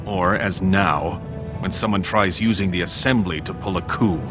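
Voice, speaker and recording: Haughty dwarven prince, lowish voice played by a man. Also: moderate pace, over music, lightly acted. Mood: accusing, scornful. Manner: haughty, accusing. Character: proud, hot-tempered dwarven prince, contemptuous and accusing. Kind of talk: fantasy video game voice acting.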